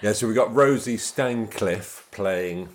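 Very deep-voiced man, dry podcast host. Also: slow pace. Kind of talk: casual chat, interviewing.

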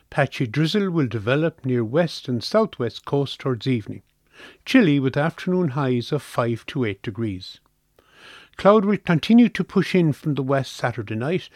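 A man's voice: mid-range voice, a little mellow, dry recording. Irish man reading weather, dry close sound. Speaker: weather forecast reader. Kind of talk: scripted radio weather forecast.

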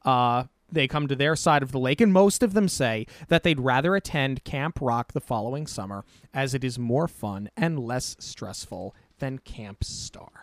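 A man's voice: mid-range voice, expressive, a little slow, few pauses, no room sound. A man co-hosting a casual movie review podcast, rambling and correcting himself as he gives strong opinions. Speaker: podcast co-host.

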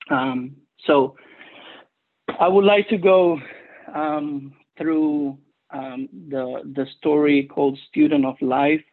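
A man from Cuba with a Cuban Spanish accent, Spanish his first language, giving an earnest personal testimony at a recovery meeting with many hesitant pauses. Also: fairly high pitch, fairly even intonation. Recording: tinny phone-line audio.

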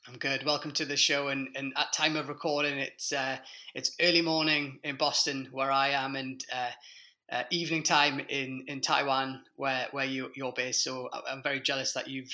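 A man's voice: mid-range voice, flat delivery, moderate pace, bright, forceful tone. A man hosting an industry podcast in a conversational style, speaking hesitantly with frequent restarts. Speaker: podcast host. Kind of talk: conversational interview.

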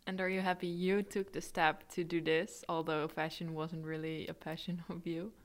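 A woman's voice: fairly low pitch, flat delivery, normal speed. A woman hosting an interview, asking friendly, encouraging questions for listeners. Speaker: interviewer, podcast host.

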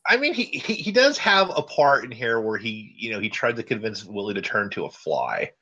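Bright, lively-voiced jokey man. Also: on the low side, normal speed, slightly rough, dull audio. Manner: jokey, breaks into silly sung bits. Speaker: podcast co-host. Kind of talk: casual chat about old cartoons.

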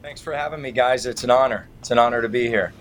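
A man's voice: medium pitch, quick pace. Activist interview guest: American man, mid-range voice, irreverent and folksy, slight noise behind him. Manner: colloquial, irreverent, folksy turns of phrase. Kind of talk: conversational interview answers. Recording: clearly noisy background.

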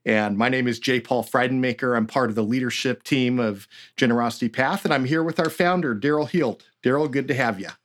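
A man's voice: mid-range voice, fairly quick, few pauses. A man hosting a podcast interview in an upbeat, chatty way. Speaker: podcast host. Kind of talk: casual interview.

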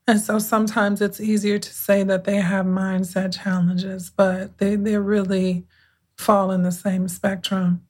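A woman with a soothing voice talks through her own podcast as a mindset coach. She speaks casually and reflectively, taking her time, pausing to gather her thoughts and restarting phrases as she goes. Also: medium pitch, level tone, darkish tone. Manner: unhurried, conversational, with thoughtful pauses and restarts.

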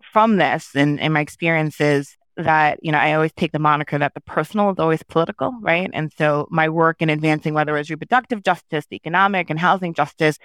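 A woman's voice: low-pitched, fairly bright tone, clean tone, dry recording. A woman from New York answering interview questions thoughtfully, with frequent restarts and fillers. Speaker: podcast guest.

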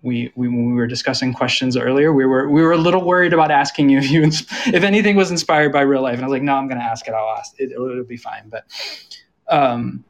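An American man hosts a panel discussion in casual, conversational speech. His voice sits at a middling pitch and has plenty of fry in it. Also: fairly even intonation, fast talker. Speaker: panel host.

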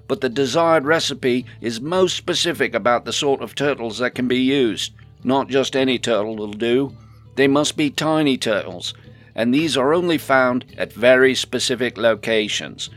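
A man voicing a loud, hearty male shopkeeper in a fantasy storybook reading. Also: mid-range voice, voice pushed up, natural voice. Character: gruff, booming male shopkeeper of stews and sauces.

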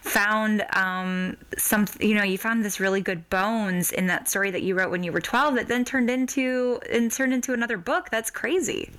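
A woman's voice: medium pitch, moderate pace, hardly any pauses. A woman podcast host chatting in an enthusiastic, gushing interview style. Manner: gushing, excitable.

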